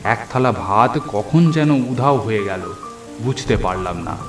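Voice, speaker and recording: A man's voice: fairly low pitch, animated, unhurried. A man narrating a first-person horror story in a dramatic storytelling style. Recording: echoey narration over music and rain.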